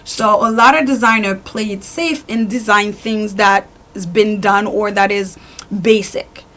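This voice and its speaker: A woman's voice: medium pitch, measured pace. A woman in her forties from Haiti, a boutique owner and stylist, telling her story in an interview and acting out what her customers say. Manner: animated storyteller, acts out customers' lines.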